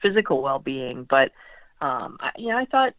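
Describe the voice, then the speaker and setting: A woman's voice: a bass voice, sing-song, normal speed, no echo. A woman sports beat writer giving conversational football analysis as an interview guest, often repeating words as she gathers her thought. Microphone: phone call. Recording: tinny phone line.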